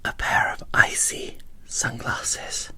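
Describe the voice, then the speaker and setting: A woman whispering in a breathy, hushed, unhurried way, as if hosting an ASMR unboxing and describing small details. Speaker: host of a doll unboxing video.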